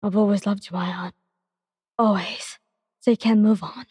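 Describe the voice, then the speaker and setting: A woman's voice: medium pitch, steady tone, measured pace, darkish tone, husky, no room sound, in a large hall. Woman voicing a desperate young male hero. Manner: pleading, emotional.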